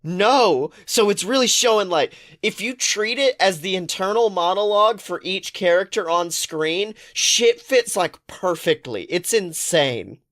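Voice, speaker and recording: Higher-voiced man, animated and sweary. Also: measured pace, few pauses. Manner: animated, profane, rambling storytelling. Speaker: podcast co-host. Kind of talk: casual pop-culture chat.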